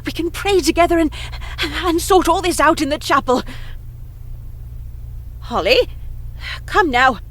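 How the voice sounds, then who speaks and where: A woman's voice: sits high, a little slow, long pauses, fairly bright tone, slight background noise. A woman voicing an anxious, devout convent woman in a horror game, fretful and pleading, motherly in her address. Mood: urgent, uneasy.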